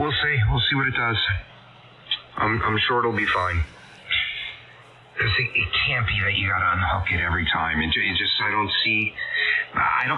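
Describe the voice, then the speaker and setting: A man in casual technical conversation over amateur radio, with a reassuring, conversational manner, heard through a shortwave transmission. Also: on the low side. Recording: muffled, noisy ham radio signal.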